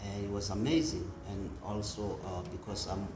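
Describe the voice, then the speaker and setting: A man with a South African accent, a bassist hosting a casual interview, halting and repeating his words. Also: flat delivery, noisy background. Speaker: host bassist. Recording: echoey room with music behind.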